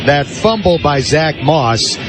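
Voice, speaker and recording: A man's voice: a little high, animated, takes it slowly, over music. A man doing live play-by-play football commentary on the radio as the announcer.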